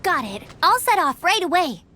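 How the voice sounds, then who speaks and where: A woman's voice: extremely high pitch, sing-song, moderate pace, bright, forceful tone, a little hiss or hum, full character voice. A woman playing a perky, confident and eager young female scout in a video game. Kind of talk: animated video game voice acting.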